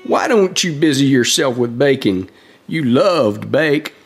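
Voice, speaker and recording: A woman's voice: very deep voice, lively intonation, a little slow, husky, exaggerated voice. A woman voicing a kindly, easygoing little old husband in a children's puppet show, genial and folksy, with music underneath.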